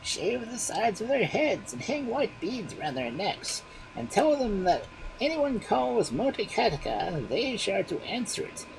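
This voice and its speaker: A set of magic bones gives out instructions in a storybook tale, voiced by a storyteller. The voice is pitched high and measured, sometimes slowing to stress a point. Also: big pitch swings, gravelly, noisy background. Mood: instructing.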